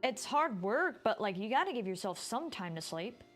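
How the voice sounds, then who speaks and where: A woman's voice: mid-range voice, sing-song, normal speed, fairly bright tone, faint music behind. A woman streamer giving informal, casual live commentary on a game, with some mild swearing.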